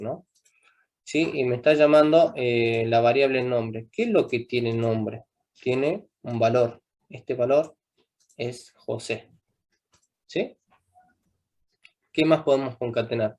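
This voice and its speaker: A man's voice: mid-range voice, darkish tone. A young man teaching a live coding class, patiently checking in with students after almost every step. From Argentina. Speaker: programming teacher.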